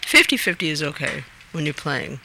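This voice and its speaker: A woman's voice: sits low, very animated, normal speed, gravelly, sharp tone, slight background noise, poor audio. A woman in her late twenties, from Finland, answering a radio host's questions in a hesitant way, full of ums and restarts. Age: late 20s. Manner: hesitant, many fillers and restarts. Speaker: radio guest. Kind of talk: casual radio interview.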